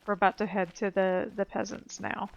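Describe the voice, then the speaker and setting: A woman's voice: mid-range voice, little pitch movement, normal speed, creaky, dull audio. A woman giving casual, offhand, unscripted commentary as she plays a game. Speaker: gamer. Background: game music.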